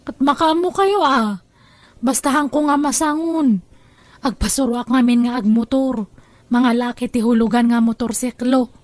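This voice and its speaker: A woman's voice: a little high, a little slow, no echo, lightly acted. A woman playing a headstrong, free-spending young adult daughter in an audio drama, defiant and casually stubborn. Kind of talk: Ilocano audio drama dialogue.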